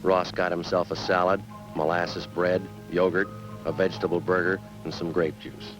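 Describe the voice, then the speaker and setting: An actor in a 1950s radio police drama playing a terse detective, speaking in a clipped, businesslike, unemotional way. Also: male voice, low-pitched, level tone, moderate pace, dull audio, close to an everyday voice. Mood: deadpan narrating. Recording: old-time radio recording with music.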